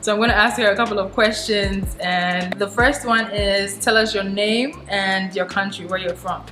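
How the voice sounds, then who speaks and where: A woman's voice: mid-range voice, fairly even intonation, moderate pace, roomy sound, music in the background. A woman from Ghana hosting her own vlog, chatting casually with a guest and laughing often. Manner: chatty and giggly. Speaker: vlogger host.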